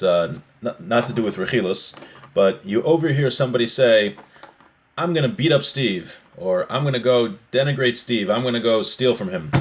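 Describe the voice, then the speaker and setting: A man with a medium-pitched voice teaches an informal class on religious law. He is plain-spoken and explanatory, working through a text in simple everyday terms. He restates his points so they are easy to follow.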